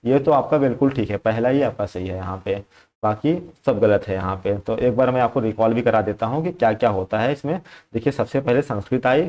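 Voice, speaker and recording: Young male tutor, mid-pitched voice, chatty, repeats points and checks in often. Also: darkish tone. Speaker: exam prep teacher. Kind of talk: explaining exam questions to viewers.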